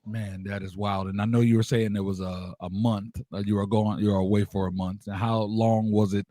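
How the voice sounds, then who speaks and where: Male host for a laid-back interview, low-pitched and dark-toned, feeling his way through questions. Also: little pitch movement, no echo, studio quality. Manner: halting, rephrases his questions. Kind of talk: casual chat interview.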